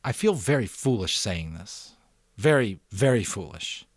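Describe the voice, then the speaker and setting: A man voicing an earnest, tentative young boy who speaks haltingly, searching for words, in a storybook reading. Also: lowish voice, sing-song, dry recording, studio quality. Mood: shy, awkward.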